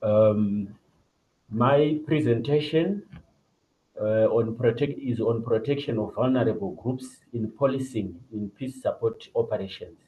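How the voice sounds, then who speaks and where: A man's voice: fairly low pitch, a little slow, lo-fi sound. A middle-aged man with a Zimbabwean accent, formally reading a presentation as a police officer over an online call. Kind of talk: formal presentation read from a paper. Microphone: video call.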